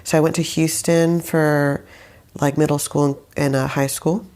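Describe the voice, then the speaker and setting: A woman's voice: low-pitched, little pitch movement, moderate pace, darkish tone. A young woman who grew up in Saudi Arabia, chatting casually as an interview guest, rambling with many fillers and restarts. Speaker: guest, musician.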